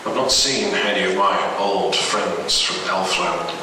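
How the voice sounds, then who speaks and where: A man's voice: deep voice, a little slow. A man reading a horror story aloud at a live event with a dramatic delivery. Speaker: story reader. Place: live event in a reverberant room, distant mic. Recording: echoey, noisy live hall recording from far off.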